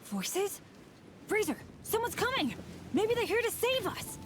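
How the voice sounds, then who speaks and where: A woman's voice: extremely high pitch, a little slow, noisy background, heavily acted. A woman voicing an earnest, upbeat, good-natured teenage boy adventurer in an anime-style video game, with a boyish voice. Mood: pleading, excited. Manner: eager, good-natured, expressive.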